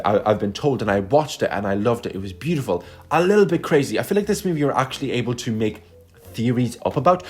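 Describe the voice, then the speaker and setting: Young guy from lithuania, russian native, chatting casually to his channel, super effusive and emphatic, swears a lot. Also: fairly low pitch. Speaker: YouTube film reviewer. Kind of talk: casual video chat.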